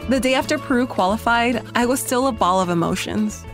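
American woman narrating over loud music. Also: fairly high pitch, fairly quick. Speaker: radio producer and reporter. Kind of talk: scripted personal narration.

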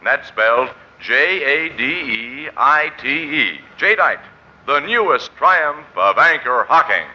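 A man's voice: mid-range voice, expressive, slow pace, sharp tone. An American man announcing on 1940s radio in a formal, emphatic sales style. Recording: muffled old 1940s radio, music behind.

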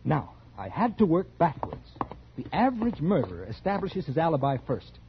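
An American actor playing a smooth, calculating murderer in a 1940s radio suspense drama, confiding and deliberate with studied nonchalance. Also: male voice, fairly high pitch, huge pitch swings, a little hiss or hum. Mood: coolly scheming. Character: calculating male murderer, smooth and feigning casual ease. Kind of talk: 1940s radio acting, first-person suspense narration. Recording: dull 1940s radio recording with music.

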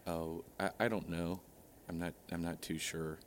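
A man being interviewed in a comedic mock interview, talking in a halting, deadpan way full of hesitations. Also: sits low, slight background noise. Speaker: interview guest.

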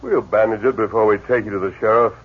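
A man playing a calm, commanding masked western lawman in a 1950s radio drama, firm and measured, with a husky voice on a tinny old radio recording. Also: lowish voice. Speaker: actor playing the Lone Ranger.

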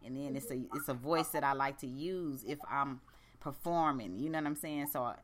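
A woman hosting a radio show in blunt, profane, laid-back slang, with a deep voice. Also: moderate pace. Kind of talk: casual radio chat.

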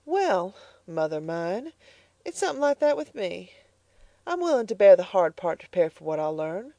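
A woman reading the part of a proud, determined young farm girl, speaking high and earnest. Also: big pitch swings, moderate pace, warm tone, no echo. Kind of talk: audiobook character voice.

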